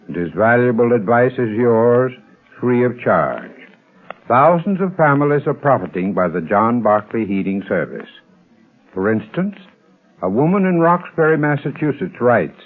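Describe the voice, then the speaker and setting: A man delivering a scripted 1930s radio advertisement as a spokesman, with formal, earnest, deliberate diction. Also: medium pitch. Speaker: advertising spokesman and heating expert. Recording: tinny vintage radio recording.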